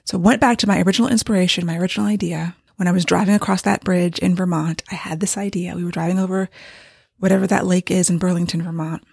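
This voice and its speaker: A woman talking casually about her writing in a candid, rambling way, her voice strained and gravelly from overuse. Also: lowish voice, fairly even intonation, fast talker, darkish tone, dry recording, studio quality. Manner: candid and rambling, with light laughs. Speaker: novelist giving a writing update. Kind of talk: casual vlog monologue. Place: home with hardwood floors.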